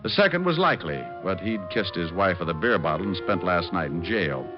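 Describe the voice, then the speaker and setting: A man's voice: deep voice, animated, a little fast. A man playing a cocky, tough private detective in a 1940s radio drama, with a wry, clipped delivery. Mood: wry, narrating. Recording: muffled old-time radio recording, music behind.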